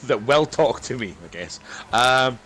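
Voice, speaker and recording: A man's voice: mid-range voice, a bit bright, slight background noise. A man in a casual, jokey, sweary chat with friends as a podcast co-host.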